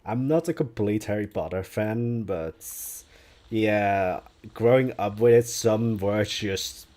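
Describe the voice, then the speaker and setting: A young Dutch-speaking man talks in English at an unhurried, drawn-out pace while he builds on a live stream. His voice sits low and mellow. He muses aloud and answers viewers' questions as they come. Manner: relaxed, musing aloud, answers chat questions.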